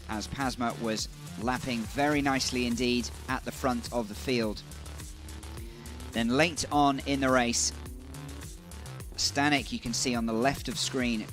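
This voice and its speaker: Brisk male motorsport commentator. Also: mid-range voice, fairly bright tone. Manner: brisk, informative, building emphasis on key moments. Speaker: race commentator.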